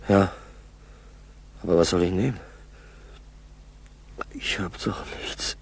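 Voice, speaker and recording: Gravelly, exhausted wounded pilot, very low. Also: male voice, exaggerated voice. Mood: strained, desperate. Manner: halting, gasping fragments, talking to himself. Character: wounded, exhausted, feverish downed pilot struggling through snowy forest. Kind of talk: 1960s German school radio play acting. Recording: hissy, noisy 1960s tape recording.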